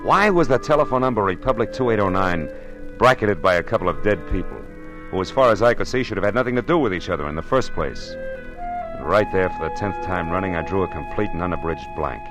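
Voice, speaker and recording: A wry, laconic hardboiled private eye in a 1950s radio play. The voice is very low and deep, and a faint music bed runs under it. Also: male voice, animated, fairly quick, rough recording. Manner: dry, wisecracking, laconic. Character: hardboiled male private detective, wry and cool. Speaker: narrator and lead.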